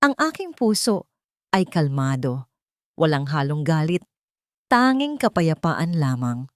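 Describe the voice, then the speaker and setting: A woman's voice: low-pitched, sing-song, slow, dry recording, studio quality. A woman narrating a scripted drama in the first person as an audiobook storyteller.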